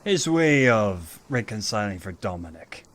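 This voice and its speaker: A man's voice: on the low side, big pitch swings, a little slow, fairly bright tone. A man playing a playful, affectionate archangel big brother in an audio drama, with a theatrical, teasing manner. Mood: wry, fond. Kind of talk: audio roleplay drama acting.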